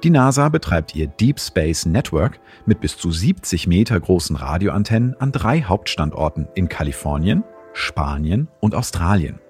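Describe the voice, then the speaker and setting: Male science presenter, low register, lively intonation, scripted explainer narration.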